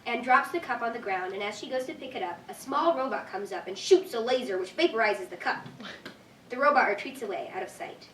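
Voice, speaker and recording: A woman's voice: a little high, expressive, a little fast, a bit bright. A woman reading scripted stage directions as narrator at a live staged reading. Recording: distant echoey room, faint noise.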